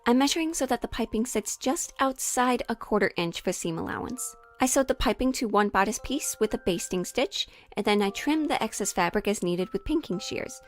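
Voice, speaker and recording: A woman's voice: fairly high pitch, animated, brisk, few pauses, faint music behind. A woman sewing vlogger talking through her project, part narration and part casual chat.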